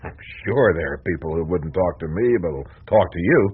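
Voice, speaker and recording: An actor playing a blunt, self-assured middle-aged American newspaper reporter in a radio drama. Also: male voice, low voice, animated, a little fast, slightly rough, narrow, tinny audio, heavily acted. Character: cocky, gut-trusting veteran American male newspaper reporter. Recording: thin band-limited radio recording.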